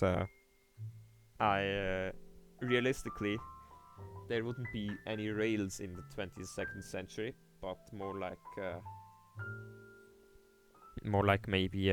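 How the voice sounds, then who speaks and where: Hesitant young Swiss man streaming. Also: lowish voice. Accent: Swiss German. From Switzerland. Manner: hesitant, many fillers and restarts, reads chat aloud. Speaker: livestreamer. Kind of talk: casual gameplay livestream commentary.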